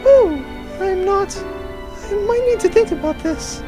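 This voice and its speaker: A woman's voice: very high-pitched, lively intonation, takes it slowly, over music, heavily acted. A woman playing a young noblewoman turned privateer pilot in an audio drama, breezy and blunt. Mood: hesitant.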